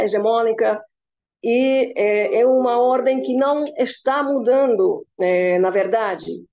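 A woman's voice: on the high side, mellow. A young woman from Cuba with a Hungarian-Cuban accent, a professor giving an interview, speaking hesitantly with many filler sounds over a webcam. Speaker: professor and researcher, interview guest. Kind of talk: interview answer. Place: room with curtains indoors. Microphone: webcam on video call. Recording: slightly dull webcam audio.

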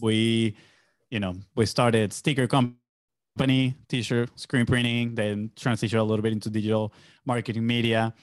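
A man's voice: mid-range voice, level tone, normal speed, dry recording. Dry, close-miked young Venezuelan man. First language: Spanish. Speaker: podcast guest, agency entrepreneur. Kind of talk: casual conversational storytelling. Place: small home studio indoors. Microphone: close boom-arm podcast microphone with headphones.

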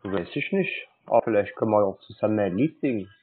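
A man's voice: on the low side, expressive, fast talker, slight background noise. A man, a native German speaker, chatting casually on a call with playful, feigned naivety. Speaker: scambaiter taking calls. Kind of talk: casual phone chat. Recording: muffled narrow phone-like sound.

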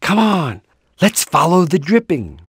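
A man's voice: on the high side, big pitch swings, measured pace, a bit bright, breathy edge, no room sound, clean studio sound, heavily acted. A man voicing an anxious, encouraging, portly middle-aged rooster father in a cartoon video game, with a hesitant, rambling, fatherly manner. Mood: reassuring. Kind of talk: animated video game character lines.

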